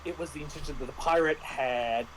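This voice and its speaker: A man in a casual discussion as a game master, speaking haltingly and often restarting his phrases. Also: a little high, fairly bright tone, a little hiss or hum, low-quality recording. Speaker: game master reviewing a tabletop RPG.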